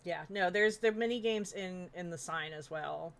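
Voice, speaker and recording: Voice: medium pitch, normal speed, creaky, a bit bright. A streamer talking casually and informally on a panel, with some mild swearing. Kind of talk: casual chat. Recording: some background noise.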